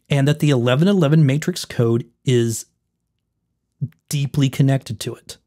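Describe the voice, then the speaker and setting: Slightly hoarse man talking to viewers. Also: fairly high pitch, a little mellow. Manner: rambling, self-correcting, addresses viewers as folks. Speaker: video host. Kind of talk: casual explainer talk.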